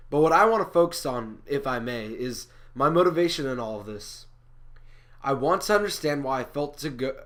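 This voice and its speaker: A man's voice: medium pitch, fairly bright tone, close to an everyday voice. A man performing a comic stage monologue as an indignant, self-justifying prophet, with emphatic, declamatory delivery. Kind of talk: rehearsed comic speech monologue.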